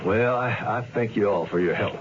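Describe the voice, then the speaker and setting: A man's voice: fairly low pitch, moderate pace, a crowd in the background, natural voice. An actor playing a young ex-convict man who narrates his own story in a radio drama, easygoing in manner. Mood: grateful. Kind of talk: 1970s radio drama acting. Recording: dull radio drama recording.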